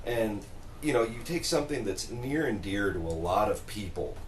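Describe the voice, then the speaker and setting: A man with a lowish, very lively voice chats casually as a podcast co-host. His intonation swings widely, and the recording is echoey. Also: normal speed. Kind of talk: casual chat. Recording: echoey room with background noise.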